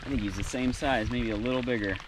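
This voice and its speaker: A man's voice: medium pitch, quick pace, background noise. A young man chatting and explaining his tackle while fishing from a canoe on a lake, caught on an action camera. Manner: excited, explains tackle plainly. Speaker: angler in canoe. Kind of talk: casual outdoor chat. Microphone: action camera on boat.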